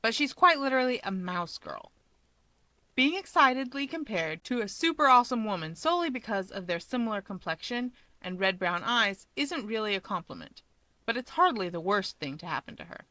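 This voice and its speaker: Bright, expressive woman narrator. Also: medium pitch, brisk, no echo. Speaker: story narrator. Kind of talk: scripted fiction narration, expressive.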